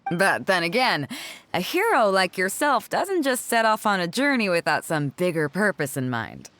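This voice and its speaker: A woman's voice: medium pitch, very animated, normal speed, fairly bright tone. An actress playing a confident, easygoing woman fleet captain in a video game, with an assured, commanding, colloquial manner. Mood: wry, respectful.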